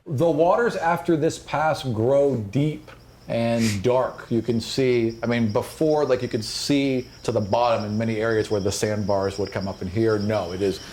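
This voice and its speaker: A man narrates a tabletop fantasy game as its game master, at a medium pitch. He tells it descriptively and dramatically with casual asides. Faint noise of crickets and insects sits behind his voice. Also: measured pace, a little mellow. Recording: clear background noise, insect ambience.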